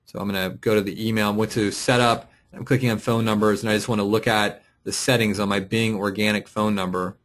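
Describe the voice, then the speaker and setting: Tutorial presenter: male with a fairly low voice, informal explanatory delivery, talking through a screen share step by step. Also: steady tone, brisk, few pauses, fairly bright tone. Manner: explanatory, thinking aloud while clicking through. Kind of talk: casual screen-share walkthrough, unscripted. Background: voice-over for a screen recording.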